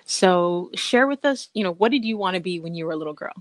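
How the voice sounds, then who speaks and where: A woman's voice: on the low side, animated, quick pace, no echo. A woman hosting an interview, gushing and encouraging, laughing often. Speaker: show host.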